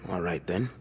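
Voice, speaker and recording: An actor playing a skeptical, middle-aged police detective in a mystery game, questioning in a probing, condescending manner. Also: male voice, low-pitched, bright, forceful tone, heavily acted. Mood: resigned, curt. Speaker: video game character. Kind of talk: narrative mystery game voice acting. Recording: long room reverb, faint noise.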